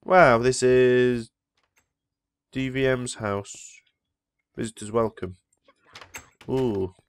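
A man's voice: mid-range voice, steady tone, very slow, clean tone, dry recording. Ill man rambling over a game. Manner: rambling, easily impressed. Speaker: Minecraft let's player. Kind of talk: casual gameplay commentary.

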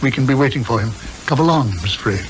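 A man's voice: medium pitch, lively intonation, quick pace, natural voice. Man acting aloof, pompous English psychiatrist detective. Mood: coolly superior. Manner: pompous, dry. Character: aloof, superior, sardonic male psychiatrist detective. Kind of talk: 1950s British radio acting. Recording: faintly noisy 1950s radio recording.

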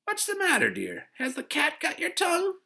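Man putting on a shrill, gushing neighbor lady. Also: huge pitch swings, normal speed, creaky, sharp tone, exaggerated voice. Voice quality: shrill falsetto. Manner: exaggerated, cooing exclamations. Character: gushing, nosy middle-aged neighbor lady.

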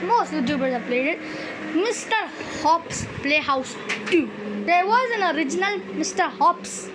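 High-pitched gamer with noisy background. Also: lively intonation, unhurried. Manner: reacts aloud, repeats words, exclaims. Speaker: gaming video host. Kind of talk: excitable horror game commentary. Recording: clear background noise.

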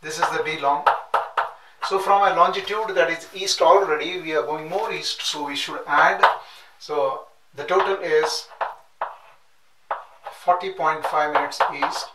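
A middle-aged man teaching at a chalkboard, working through calculations step by step and repeating numbers slowly as he writes. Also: on the high side, far from the mic. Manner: methodical, repeats numbers slowly while writing. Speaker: nautical science instructor. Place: classroom at a chalkboard. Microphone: room mic at a distance, back often turned. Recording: echoey room, off-mic, clear noise.

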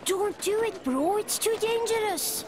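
A woman's voice: very high voice, lively intonation, unhurried, background noise. A woman voicing an anxious, pleading little sibling in a video game. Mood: frightened, pleading. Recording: music behind the voice.

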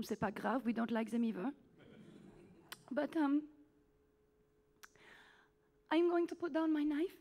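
A woman's voice: high-pitched, warm tone, pitched below the performer's own voice. A woman playing a haughty, theatrical French chanteuse with a put-on French accent, singing comic cabaret songs. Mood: scornful patter. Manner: camp, mocking, flamboyant, sung with musical backing. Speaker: cabaret performer. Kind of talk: comic cabaret song and patter.

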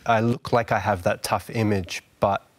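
Soft-spoken man, gentle and thoughtful. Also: on the low side, steady tone, moderate pace. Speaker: studio audience guest. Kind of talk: studio discussion. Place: large television studio.

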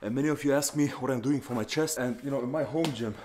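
A man's voice: medium pitch, fast, darkish tone. A young man vlogging casually about his home gym, laid-back and slangy, swearing often. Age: young adult. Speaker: fitness vlogger. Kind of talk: casual vlog talk. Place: covered outdoor home gym at night. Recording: noisy camera audio.

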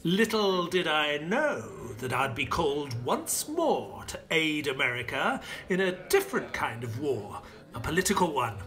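An actor playing a proper, reflective middle-aged army doctor, narrating formally and with measure in a British accent. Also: male voice, fairly high pitch, big pitch swings, unhurried, sharp tone, full character voice. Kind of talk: dramatic first-person scripted narration.

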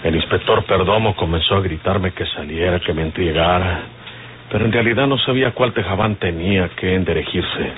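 An actor playing a wary outlaw on the run from police, with a Mexican accent, in the style of an old radio serial. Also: male voice, low-pitched, normal speed, natural voice. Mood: tense, explaining. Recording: noisy, tinny old radio serial recording.

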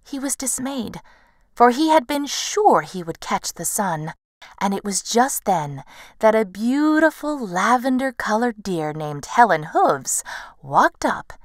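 A woman storyteller narrating a bedtime tale in a gentle, measured manner. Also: fairly high pitch, very animated, dry recording, studio quality. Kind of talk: slow bedtime storytelling in an animated game.

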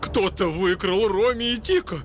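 A man voicing an anxious, fussy, kindly beaver-like gentleman in a cartoon dub, fretful and exclamatory. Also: high voice, moderate pace, a little hiss or hum, natural voice. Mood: shocked dismay.

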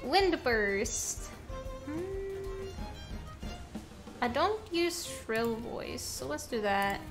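A young woman from Norway, a native Norwegian speaker, chatting casually in English with her viewers while streaming a game, laughing often and answering chat. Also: sits high. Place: home room at a desk.

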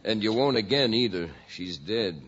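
An actor playing an earnest, neighborly cowboy sidekick in a 1940s radio comedy, with exaggerated delivery. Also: male voice, fairly low pitch, animated, moderate pace, very noisy, lightly acted. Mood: solemn. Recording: muffled old radio, audience behind.

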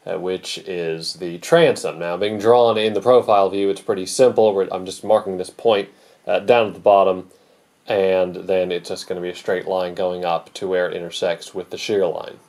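A man's voice: sits low, fairly even intonation, normal speed, a little mellow, recorded from a distance. A young man explaining his boatbuilding project to camera in a plastic-sheeted workshop, methodical and dryly humorous. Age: young adult. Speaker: boatbuilding vlogger. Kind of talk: explaining a woodworking build to camera.

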